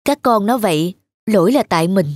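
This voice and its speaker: A man's voice: high voice, animated, fairly quick. A man playing an affectionate father and devoted son in a cartoon, talking in an earnest, reflective way. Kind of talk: animated cartoon dialogue and storytelling.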